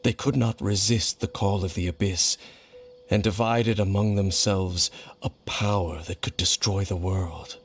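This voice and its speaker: Game role: a solemn, age-old wanderer, low-voiced, formal and grave, music underneath. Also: male voice. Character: brooding ancient male wanderer, solemn and vengeful. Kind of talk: scripted video game voice acting.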